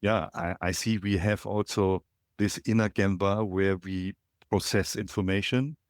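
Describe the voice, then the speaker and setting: A middle-aged man from Germany with a German accent, a podcast guest calling in remotely and telling his story in a reflective, halting way with many fillers. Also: low-pitched, steady tone, dry recording, studio quality. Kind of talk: casual interview, storytelling. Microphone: remote call.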